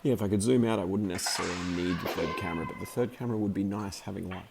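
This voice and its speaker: A man just over the flu, his voice croaky, giving chatty, rambling commentary over footage of a hockey game he filmed, with plenty of fillers and restarts. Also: low voice, racing, noisy background. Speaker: amateur hockey commentator and video maker.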